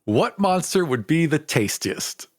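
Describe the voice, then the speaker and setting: A man's voice: on the high side, very animated, measured pace, clean studio sound. Casting: male podcast co-host, informal and conversational, reasons things out aloud, very little room echo. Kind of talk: casual chat, answering listener questions.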